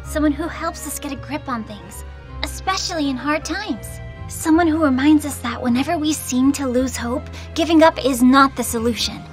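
A woman voicing an earnest, brave teenage superheroine in an animated video game, with expressive delivery. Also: sits high, normal speed, faint music behind, lightly acted. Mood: earnest, reflective.

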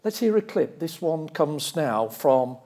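A man's voice: a little high, sing-song, unhurried, background noise. A middle-aged man hosting an on-stage interview, speaking emphatically and repeating key words. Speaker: interviewer, host. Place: lecture theatre stage.

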